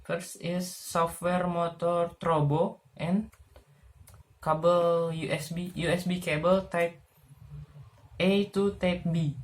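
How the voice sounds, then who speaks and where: Low, slow, halting woman presenter. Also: flat delivery, a little mellow. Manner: halting, with many pauses and fillers; non-native English with broken grammar. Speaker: student presenting a project. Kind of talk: slide presentation of a technical project.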